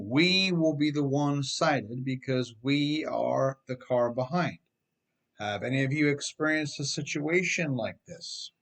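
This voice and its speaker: Man narrating an instructional audiobook. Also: medium pitch. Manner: rhetorical questions, direct address to listeners, emphatic. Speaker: author reading own advice book on driving.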